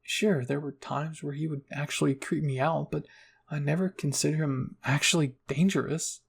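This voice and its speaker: A man's voice: fairly high pitch, fairly even intonation, normal speed, creaky, breathy edge. A man narrating a scripted first-person fan fiction story as an ASMR roleplay reading.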